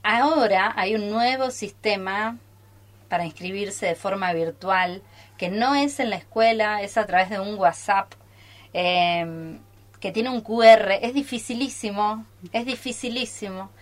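A woman's voice: medium pitch, measured pace. Informal, enthusiastic Argentine woman on radio. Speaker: theatre workshop teacher and studio guest. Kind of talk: casual live radio talk. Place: radio studio. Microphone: studio microphone.

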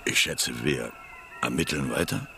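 A man's voice: extremely low pitch, a little slow, vocal fry, background noise, full character voice. A man playing a world-weary, laconic subordinate policeman in a radio drama, grumbling dryly. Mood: dry, agreeing. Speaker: actor in a radio play. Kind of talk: naturalistic radio drama acting.

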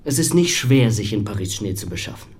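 A man's voice: on the low side, animated, brisk, darkish tone, lightly acted. A man playing a cocky, defiant young star footballer in a German audio drama, scornful and curt. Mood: sullen, evasive.

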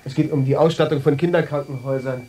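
A man's voice: medium pitch, fairly even intonation, fast talker, mellow, slight background noise, close to an everyday voice. An actor in a radio drama playing an insistent, flustered man abroad, hesitant yet pressing. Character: insistent, impatient, flustered male foreign traveler.

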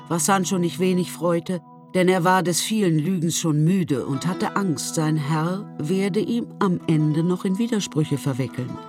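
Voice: medium pitch, darkish tone. A narrator reading scripted literary storytelling for a radio play.

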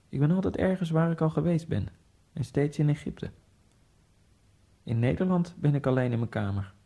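An actor playing a dead Egyptian immigrant man who narrates his own fate in a radio drama, in a quiet, dry and sardonic way. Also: medium pitch, creaky, husky, full character voice. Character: dead male Egyptian immigrant, wry and detached. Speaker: first-person narrator.

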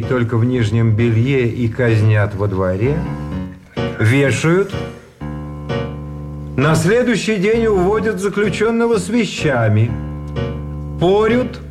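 An actor playing a weary, fatalistic prisoner in a radio drama, speaking in measured, polite, deliberate phrases. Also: male voice, mid-range voice. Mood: grim, matter-of-fact. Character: weary, fatalistic imprisoned private explaining grim rules. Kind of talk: Russian radio drama acting. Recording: music under the voice.

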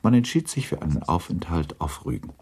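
An actor as the sober narrator of a classic novel in a radio play, measured and detached, with a gravelly voice. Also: male voice, deep voice, very animated, dark tone, slight background noise. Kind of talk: literary scripted narration.

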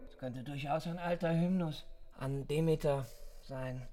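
An actor in a radio play playing a pompous, erudite young intellectual who philosophises drunkenly in a pedantic, declamatory, halting way. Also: male voice, on the high side, loud music behind the voice, lightly acted. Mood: musing, pedantic.

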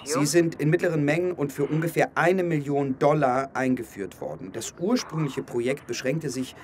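A man's voice: a little high, normal speed, darkish tone, lightly acted. Actor as an earnest young german man, indignant, faint background noise, radio play. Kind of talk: radio drama acting.

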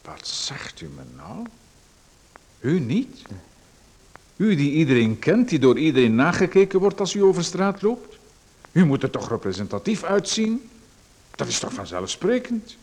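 An actor playing a pushy male salesman, lecturing smoothly and persuasively about a dubious hair machine. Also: on the high side, very animated, a little slow, long pauses, a little mellow, exaggerated voice. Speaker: radio drama actor. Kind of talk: radio play acting.

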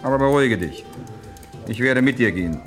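Cool, defiant man played by an actor, lively intonation. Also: mid-range voice, fairly quick, music in the background, lightly acted. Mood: mocking, defiant. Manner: dry, ironic, unflustered. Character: cool defiant man posing as his dead double. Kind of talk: German crime radio play acting.

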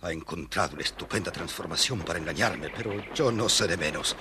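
An actor playing a cunning, villainous wizard in a theatrical way for a children's storybook record. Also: male voice, deep voice, animated, fast. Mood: scheming. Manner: theatrical, chanting, exclamatory. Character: villainous male wizard, cunning, scheming and exclamatory. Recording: noisy old children's record.

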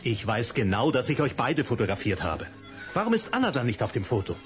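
A father, stern and exasperated. His mid-range voice moves a lot in pitch, with music clearly audible behind in this audio play. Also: wildly swooping pitch, a little fast.

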